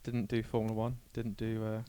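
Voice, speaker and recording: Man, twenties, athlete guest. Relaxed tone, brisk pace, loose self-mocking chat. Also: fairly low pitch, clear, resonant tone, no room sound. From Scotland. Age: 20s. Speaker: cyclist, podcast guest. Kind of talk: casual chat. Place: small cluttered office, indoors. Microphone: handheld microphone.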